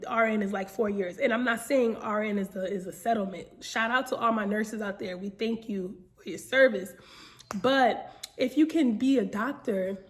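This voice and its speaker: A young adult woman giving an informal, earnest motivational talk, addressing listeners directly with repeated phrases and rhetorical questions. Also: on the high side. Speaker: motivational speaker.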